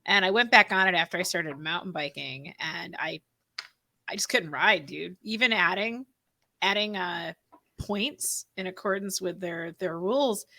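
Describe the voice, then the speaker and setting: A woman's voice: on the low side, sharp tone, no room sound. A woman hosting a podcast, chatting in a breezy, casual way. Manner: breezy and casual, says "dude" a lot. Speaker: podcast host. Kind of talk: casual chat.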